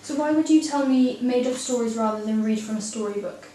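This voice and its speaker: A woman's voice: fairly high pitch, a little fast, dark tone, a little hiss or hum, low-quality recording. A young woman interviewing a relative about bedtime stories, encouraging and laughing often. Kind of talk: casual family interview.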